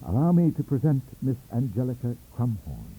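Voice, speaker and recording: A man's voice: mid-range voice, big pitch swings, moderate pace, dark tone, slightly rough, pitched above the performer's own voice, rough recording. A man voicing a flowery young student who poses as a Victorian dandy in an audiobook, speaking in a gallant, pompous manner. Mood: gallant, florid. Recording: faint hiss of old cassette audiobook.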